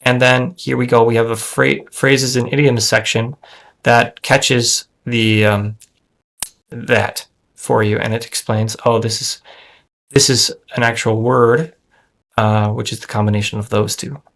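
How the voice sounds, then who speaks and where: A man's voice: medium pitch, little pitch movement, measured pace, creaky, a bit bright. A man demoing his language-learning app on screen, talking in a casual, thinking-aloud way with lots of fillers and restarts. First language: English. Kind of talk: casual screen-share software demo.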